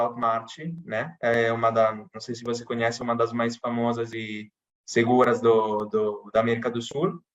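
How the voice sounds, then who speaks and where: A man from Italy speaking with an Italian accent, chatting casually in a podcast interview with many pauses and restarts. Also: medium pitch, fairly bright tone, lo-fi sound. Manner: hesitant, many restarts and fillers. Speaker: interviewee, channel host.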